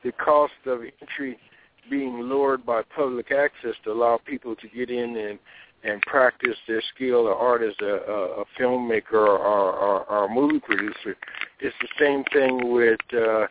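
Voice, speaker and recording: A man hosting a radio talk show, speaking haltingly with many fillers. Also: mid-range voice, steady tone, noisy background. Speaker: radio host. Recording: tinny phone-like radio audio.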